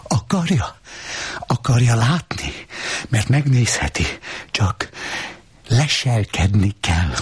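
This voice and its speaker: A man voicing a middle-aged woman who mocks and scolds in a shrill, reproachful way. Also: very animated, long pauses, breathy edge. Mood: scolding.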